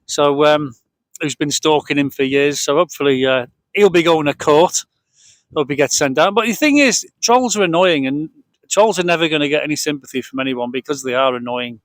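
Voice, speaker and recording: A man's voice: fairly high pitch, fairly quick, no room sound. A British man in middle age or older hosting a casual vlog, chatting off the cuff into a handheld microphone. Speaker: vlog host. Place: outdoors on a boat deck at a marina, breezy evening. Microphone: cheap handheld microphone shared with partner.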